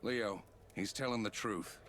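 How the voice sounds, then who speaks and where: A man playing a gruff, big-hearted sailor captain in a video game, with a salty, blustering way of talking. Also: on the low side, unhurried, a bit bright, a little hiss or hum. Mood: moved.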